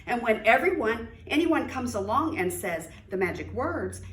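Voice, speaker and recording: A woman reads as a scheming trickster spider in a children's storybook, all friendly on top and crafty underneath. The delivery is exaggerated and swoops widely in pitch. The recording is roomy and a bit distant. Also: big pitch swings, moderate pace. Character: sly, scheming trickster male spider of folktale. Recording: roomy, distant mic with faint noise.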